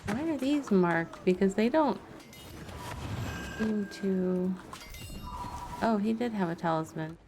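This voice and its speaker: A woman's voice: mid-range voice, slow pace, pauses often, background noise. A woman doing casual live gameplay commentary, muttering wry asides as she plays. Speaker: game streamer. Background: game music.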